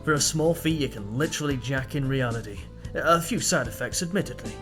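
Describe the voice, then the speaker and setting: A man's voice: medium pitch, fairly quick, natural voice. Man acting a sly, cocky schemer over music. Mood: wry, boastful. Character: sly, cocky male tutor and hedonistic tech schemer. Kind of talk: audio drama acting. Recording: music under the voice.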